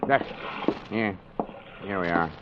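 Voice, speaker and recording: An actor playing a gruff, chatty old harbor boatman with drawling nautical slang, in 1940s radio style. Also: low voice, takes it slowly. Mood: salty, chatty. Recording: muffled, noisy 1940s radio recording.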